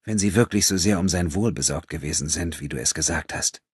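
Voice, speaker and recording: A man voicing a troubled, compassionate young woman in an audiobook, hushed, breathy and hesitant as she gently probes. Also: low voice, a little fast, no room sound, clean studio sound. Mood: probing, thoughtful.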